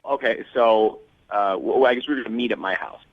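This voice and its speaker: A young man calls in to a radio show and chats casually. His voice is fairly low. The phone line makes it slightly dull. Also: a little fast, no room sound. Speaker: phone-in caller. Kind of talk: casual chat.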